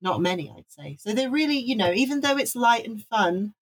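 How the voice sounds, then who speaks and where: A woman's voice: a little high, very animated, a little fast, breathy edge. A woman from Wales co-hosting a casual music podcast, talking enthusiastically and rambling with restarts. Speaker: podcast co-host.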